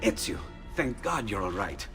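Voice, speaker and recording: Game inventor, male, eager and chatty, lowish lively voice, faint room ambience. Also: normal speed, gravelly, music in the background. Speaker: inventor friend.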